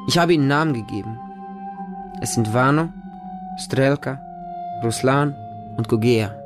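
An actor playing a homeless street child, narrating a radio drama in simple, intimate short sentences. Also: male voice, medium pitch, unhurried, music in the background, natural voice. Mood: earnest, plain. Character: homeless Moscow street child who befriends stray dogs. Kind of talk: first-person radio drama monologue.

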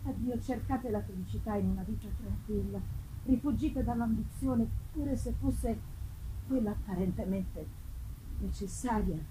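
A woman voicing an anguished, dying male scientist, remorseful and feverishly intense, in a solemn, halting dramatic reading of a gothic novel. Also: mid-range voice, close to an everyday voice. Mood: solemn farewell. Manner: solemn, declamatory, halting phrases. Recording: clearly noisy live reading with ambience.

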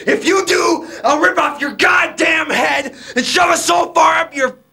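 A man's voice: extremely high pitch, unhurried, creaky, pushed and bright, shouting, faint music behind. A man screaming aggressive, profane threats on an amateur recording. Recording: echoey room with crowd noise.

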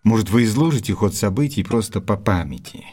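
An actor playing a detective's loyal companion, narrating his memoirs in a calm, measured, reflective way for an audio drama. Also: lowish voice. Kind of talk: audio drama, scripted first-person narration.

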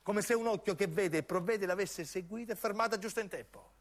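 A man narrates as a stage actor, in intense, deliberate phrases of dramatic radio storytelling. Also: high voice. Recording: piano music under the voice. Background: piano and keyboard music.

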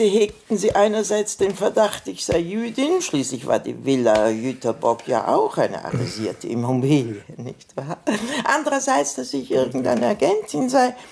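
Haughty, creaky old madame. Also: female voice, on the low side, darkish tone, a little hiss or hum, full character voice. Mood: wistful recounting. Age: elderly. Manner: rambling, theatrical, breaking off into gasps and giggles. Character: haughty old madame, rambling self-excusingly about her past. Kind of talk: radio play acting.

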